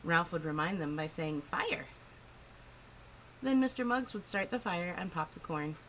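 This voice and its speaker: A woman reading a picture book aloud to children in an expressive, clearly phrased storytelling style. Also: lowish voice, brisk, slight background noise, low-quality recording. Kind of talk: animated children's story narration.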